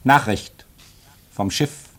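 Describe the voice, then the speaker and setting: A restless, brooding young man. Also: extremely slow. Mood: grim. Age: young adult. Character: restless, bitter 25-year-old man at polar station. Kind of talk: old German radio play acting.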